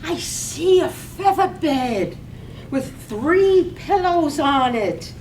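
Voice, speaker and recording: Woman playing a very high-pitched village gossip. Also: expressive, very slow, a little mellow, full character voice. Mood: entranced. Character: gossipy village wife, crystal-gazing and scandal-loving. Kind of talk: stage reading of poetic radio drama. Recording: echoey room, distant mic, faint rumble.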